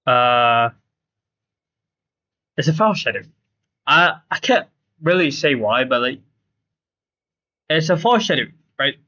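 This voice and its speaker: A man's voice: mid-range voice, lively intonation, unhurried, long pauses, pushed and bright, lo-fi sound, slight background noise. A man streaming a game with casual live commentary, explaining his points emphatically and repeating himself.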